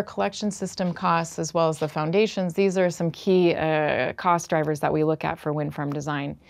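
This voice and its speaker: Woman, middle-aged, low voice, dry close lapel sound, technical lecture, measured, filler-heavy. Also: vocal fry, a little mellow, no room sound. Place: dark studio in front of a projection screen.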